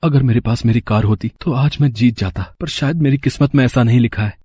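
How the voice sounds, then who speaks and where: A man's voice: medium pitch, brisk, warm tone, husky, no room sound, lightly acted. A man playing an earnest poor mechanic husband. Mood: dejected. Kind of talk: Hindi moral-story cartoon dubbing.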